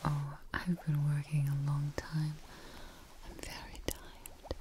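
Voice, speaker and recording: A young woman playing a devoted, tired scientist tending her creation in a breathy, gentle whispered ASMR roleplay, close to the mic. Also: low-pitched, monotone. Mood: tender, awed. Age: young adult. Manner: slow, breathy, gentle, pausing. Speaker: ASMR performer. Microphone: close ASMR microphone.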